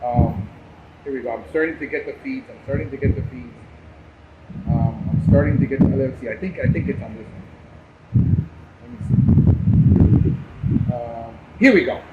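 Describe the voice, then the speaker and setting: A man's voice: medium pitch, lively intonation, normal speed, pauses often. An American man hosting a podcast in a casual, unscripted way, with a blunt, combative manner. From Cuban, grew up in New Jersey and Miami. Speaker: podcast host. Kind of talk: casual unscripted live commentary. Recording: muffled, loud background noise.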